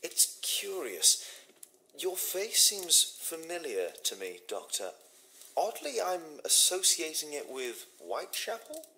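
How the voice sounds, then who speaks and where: An actor playing a shrewd, courteous Victorian detective in a video game, formal and deliberate, with a British accent. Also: male voice, a little high, wildly swooping pitch, sharp tone, a little hiss or hum, full character voice, poor audio. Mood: probing. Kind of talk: scripted video game dialogue acting.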